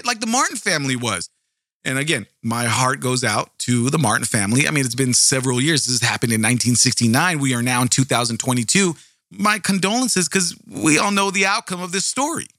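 Brief: animated, foul-mouthed male storyteller, projecting voice, somewhat high. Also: dry recording, studio quality. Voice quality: booming projecting voice. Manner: animated, profane, repeats words. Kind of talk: casual true-crime storytelling.

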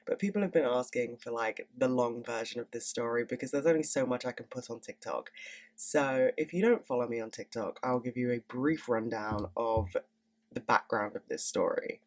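A woman's voice: a bass voice, moderate pace, a little mellow. Young woman, podcast host, stuffy nose, candid, swears a lot. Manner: chatty, candid, swears freely. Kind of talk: casual chat.

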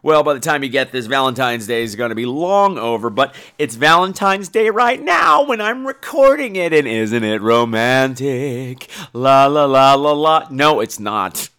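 A man's voice: medium pitch, a little slow, few pauses, clean tone. A man hosting a podcast, ranting casually and profanely about his day while losing his voice to a coming cold. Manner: profane, exasperated, animated ranting. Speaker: horror podcast host. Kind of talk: casual solo podcast rant.

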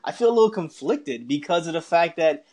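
A man's voice: fairly high pitch, lively intonation, fairly quick, darkish tone. A man co-hosting a podcast, chatting informally and conversationally with some slang. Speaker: podcast co-host. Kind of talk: casual conversational podcast chat.

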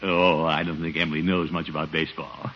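An actor playing a pompous, blustering middle-aged uncle with a booming, chuckling manner in a 1950s radio comedy. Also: male voice, little pitch movement, brisk. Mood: hearty, scheming. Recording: dull, noisy 1950s radio recording.

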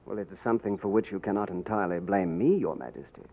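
An actor playing a dashing, courtly gentleman of royal looks in a theatrical 1940s radio drama. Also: deep voice, lively intonation, fast talker, full character voice. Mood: suave, courteous. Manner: theatrical, courtly, crisply spoken. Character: dashing gentleman adventurer and his excitable royal double. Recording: tinny, narrow old radio broadcast.

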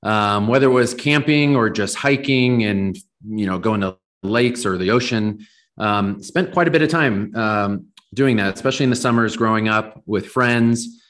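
A man's voice: fairly low pitch, moderate pace, a bit bright. An American man in his forties from Southern California, answering conversationally as a company founder being interviewed.

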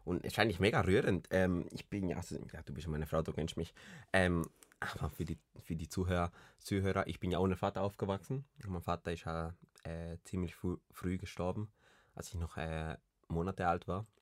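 Tiefe Stimme, junger Mann aus Kolumbien, trockener Klang, lockeres Plaudern mit vielen Füllwörtern. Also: male voice, low voice, fairly even intonation, moderate pace, dry recording. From Colombia. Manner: rambling, many restarts and fillers. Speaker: podcast co-host. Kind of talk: casual chat.

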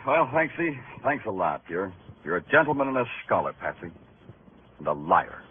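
An actor playing a world-weary, hard-boiled New York detective, wry and terse, in a vintage noir radio drama. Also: male voice, sits low, big pitch swings, moderate pace, background noise. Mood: sardonic, probing. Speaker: lead character and narrator. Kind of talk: 1940s-50s noir radio drama narration and dialogue. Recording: tinny old radio recording with music.

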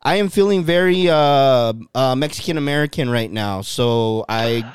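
A man's voice: medium pitch, moderate pace, clean tone, no echo, clean studio sound. A man co-hosting a podcast from home in loose, rambling casual chat, swearing and filling with ums. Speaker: podcast co-host.

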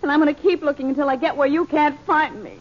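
A woman playing a detective's pert, sharp-tongued girlfriend in a 1940s radio drama, with an American accent. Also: sits high, quick pace, natural voice. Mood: indignant. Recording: muffled, noisy old radio recording.

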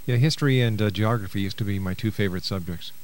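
Male radio host, middle-aged, low voice, interview format. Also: fast, darkish tone.

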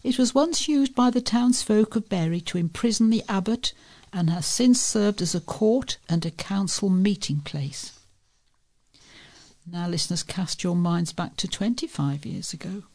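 Woman reading, low creaky voice. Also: unhurried, darkish tone. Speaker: volunteer newsreader for a local talking newspaper. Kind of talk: scripted reading of local news and features.

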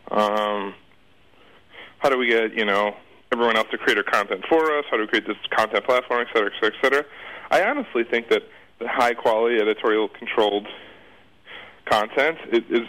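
A man's voice: mid-range voice, fairly bright tone, low-quality recording. A man giving casual interview answers as a guest, informal and rambling in the way he talks.

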